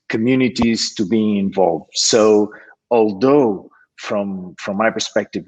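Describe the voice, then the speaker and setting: Low-voiced man from Portugal, hesitant. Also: husky, poor audio. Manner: hesitant, many fillers and restarts. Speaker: panelist. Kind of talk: online panel introduction. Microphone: video call.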